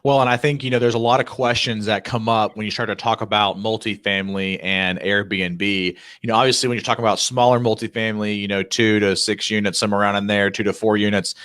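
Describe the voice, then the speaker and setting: A man's voice: lowish voice, fairly even intonation, fast talker, few pauses, a bit bright, no room sound. Chatty male host, dry sound. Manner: chatty, lots of "you know" and "kinda" fillers. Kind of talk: casual interview.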